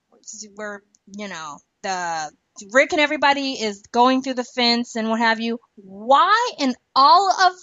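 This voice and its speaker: A woman with a fairly high, bright voice hosts a casual podcast. She is emphatic and opinionated, pressing her points with exasperated questions. The sound is close and dry. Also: no room sound, highs cut off. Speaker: podcast host.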